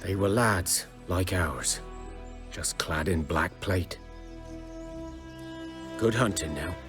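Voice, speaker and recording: An actor playing a weary outcast village hunter in a video game, rustic, plainspoken and guarded. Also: male voice, low-pitched, slow pace, over music. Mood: sombre, guarded.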